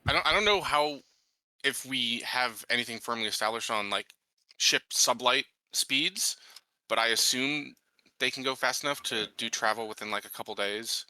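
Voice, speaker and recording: Very bright, sharp-voiced man. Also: mid-range voice, normal speed, no echo, rough recording. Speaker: tabletop game player. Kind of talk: casual game chat.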